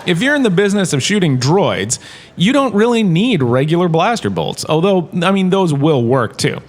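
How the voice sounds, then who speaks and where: A man's voice: sits high, very animated, moderate pace. A man playing a washed-up showbiz star turned university lecturer, giving a chatty, jokey scripted lecture in a comedy audio drama. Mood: playful, chatty. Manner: chatty, jokey, showman-like salesman patter.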